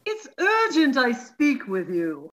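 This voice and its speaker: Actress as an anxious high-voiced scheming wife. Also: female voice, wildly swooping pitch, slow, noisy background, full character voice. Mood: worried, urgent. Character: anxious, scheming adulterous wife in murder farce. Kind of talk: old-time radio comedy mystery acting.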